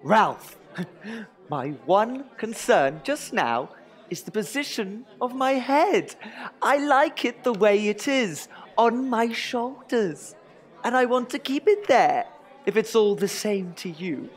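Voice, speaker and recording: An anxious Tudor courtier in a comic audio drama. He is exasperated and theatrical, stretching words slowly for emphasis, with a widely swooping pitch. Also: lively intonation. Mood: wry.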